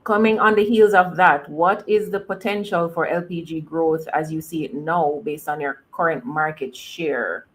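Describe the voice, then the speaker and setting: A woman's voice: low-pitched, normal speed, few pauses. A woman moderating investor questions on a corporate earnings call.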